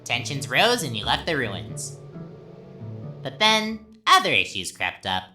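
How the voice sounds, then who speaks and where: A woman's voice: rumbling low, big pitch swings, unhurried, sharp tone, soft background music, full character voice. A woman voicing a sadistic, childishly gleeful talking flower villain in a video game, taunting theatrically and swinging from sweet to menacing. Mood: sinister recounting.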